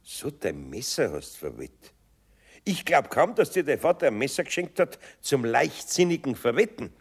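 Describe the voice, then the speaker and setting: An actor playing an older master carpenter in a radio play, speaking with a Bavarian accent, gruff and kind, teasing with dry humor. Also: male voice, medium pitch, very animated, normal speed. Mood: wry.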